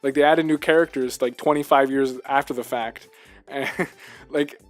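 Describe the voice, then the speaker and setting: A man co-hosting a casual video game discussion, talking in an animated, rambling way and repeating himself for emphasis. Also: mid-range voice, moderate pace, faint music behind. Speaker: podcast co-host.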